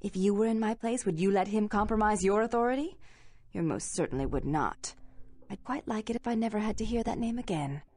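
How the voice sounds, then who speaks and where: An actress playing a haughty, prim vampire nightclub owner in a video game, formal, imperious and disdainful. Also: female voice, mid-range voice, a little fast, darkish tone, no room sound, close to an everyday voice.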